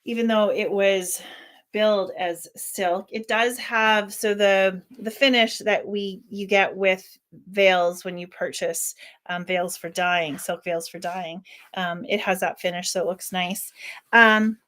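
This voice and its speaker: A woman hosting her own channel, giving a casual unboxing review. She thinks aloud, often restarting sentences, and her voice is a little squeaky today. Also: steady tone, takes it slowly, fairly bright tone. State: slightly squeaky voice. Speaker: worship flag maker and channel host.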